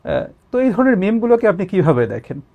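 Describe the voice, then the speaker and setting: A man's voice: sits high, big pitch swings, fast, phone-quality sound. A man with a Bangladeshi accent asking questions conversationally as an interviewer.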